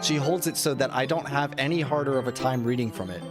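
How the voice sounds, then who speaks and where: A man's voice: medium pitch, fast. A man streaming a game, reading a visual novel aloud and adding casual, joking commentary. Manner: joking, self-interrupting asides. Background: loud game music.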